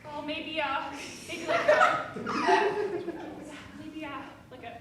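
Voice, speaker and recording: A woman's voice: high-pitched, big pitch swings, slow pace, full character voice. A woman playing an awkward, nervous new male priest, fumbling and chatty, in live improv comedy. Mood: flustered. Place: university stage, live. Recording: echoey hall, mic from far off, loud noise.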